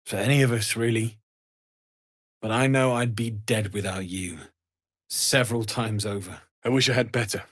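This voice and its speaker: Guy playing a kindly young fantasy smith for a video game, really husky breathy voice, sincere and understated. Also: fairly low pitch, steady tone, normal speed, lightly acted. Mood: grave, concerned. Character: kindly, earnest young male infernal blacksmith from a fantasy world. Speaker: blacksmith and trader. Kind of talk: video game character dialogue.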